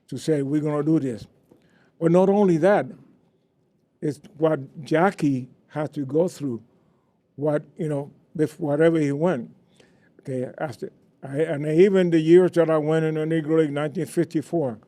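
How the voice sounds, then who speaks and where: A man's voice: on the high side, dark tone. An older man from Cuba with a Cuban Spanish accent, a former ballplayer earnestly recounting memories on a panel. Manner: earnest, proud, with restarts. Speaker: panel guest, former baseball player.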